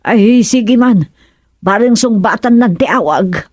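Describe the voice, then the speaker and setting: A woman's voice: fairly high pitch, expressive, slow. A woman acting a worried village mother in a conversational radio drama. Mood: concerned.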